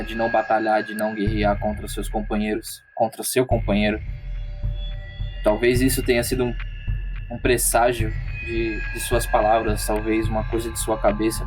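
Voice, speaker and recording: A Brazilian man, a roleplay player, narrating what his character does. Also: fairly low pitch. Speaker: tabletop RPG player. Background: background music.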